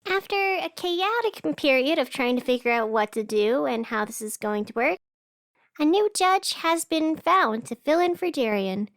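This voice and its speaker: A woman's voice: sits high, dry recording. A woman playing a chatty, wry young radio host in a fiction podcast, talking casually in asides. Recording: music behind the voice. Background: music.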